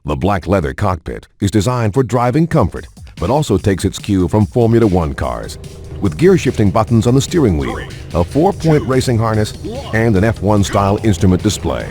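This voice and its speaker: A man with a low voice narrates a car showcase in a smooth, polished announcer manner, his pitch rising and falling with lively sweep. Faint music plays under his voice in the game video. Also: normal speed. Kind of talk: scripted promotional narration.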